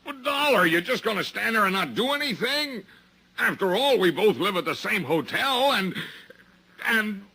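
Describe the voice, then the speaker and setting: A man's voice: high voice, lively intonation, normal speed, creaky, bright, forceful tone, husky, exaggerated voice, poor audio. An actor playing a blustering, flustered American salesman in trouble, pleading his case in an old radio drama. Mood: indignant.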